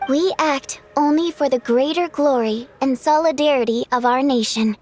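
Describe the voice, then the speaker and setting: A woman's voice: sits high, moderate pace, a little mellow, close to an everyday voice. A woman playing a dutiful young female operative in a game cutscene. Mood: solemn.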